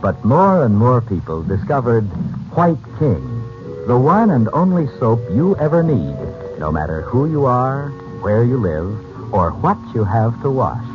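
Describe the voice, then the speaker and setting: A man with an American accent delivering a polished, emphatic 1940s radio advertising pitch. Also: sing-song, a little slow. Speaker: radio announcer. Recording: muffled old radio recording with music.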